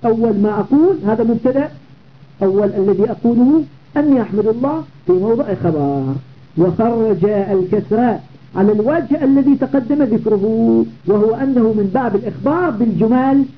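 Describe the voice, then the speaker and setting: A man's voice: extremely high pitch, a little fast. A man teaching a classical grammar lesson. He explains methodically, repeats key phrases and stops to check that students follow. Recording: tinny phone-like audio with faint noise.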